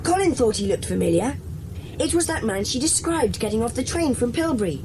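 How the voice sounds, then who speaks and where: A woman playing a bossy, sensible schoolboy leader in a children's audio drama, speaking in a brisk, decisive way. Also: fairly high pitch, very animated, background noise. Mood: urgent.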